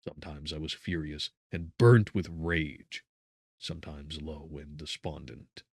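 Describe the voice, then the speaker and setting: A man narrating a gothic novel as an audiobook, solemn and theatrical, with measured phrasing and emphatic swells. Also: a bass voice, steady tone, a little mellow, slightly rough, no room sound, clean studio sound.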